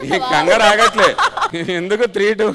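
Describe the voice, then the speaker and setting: A man's voice: high-pitched, normal speed, heavy background noise. A man presenting a TV show on stage as host, stretching out his introductions with energy. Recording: music playing behind the voice.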